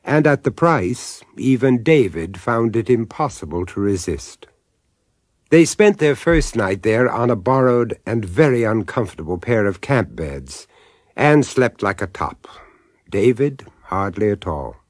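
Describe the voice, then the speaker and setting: A man narrating an eerie radio drama as a suave, wry storyteller, measured and deliberate. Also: lowish voice, big pitch swings, no room sound, clean studio sound. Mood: wry storytelling.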